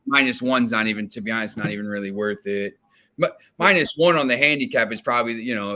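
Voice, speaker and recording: A man's voice: fairly low pitch, bright, forceful tone. A man, chatting casually about soccer bets, rambling with restarts and fillers. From New Jersey, United States. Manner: rambling, repeats words, many fillers. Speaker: soccer betting guest. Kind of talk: casual betting chat.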